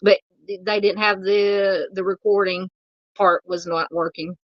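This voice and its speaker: Southern woman, livestream host, hoarse and tiring, halting and polite, dry and slightly muffled mic. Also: medium pitch, steady tone, no echo. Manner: halting, filler-heavy, polite with viewers. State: losing her voice. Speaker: true crime livestream host. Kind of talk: casual livestream chat reading viewer comments.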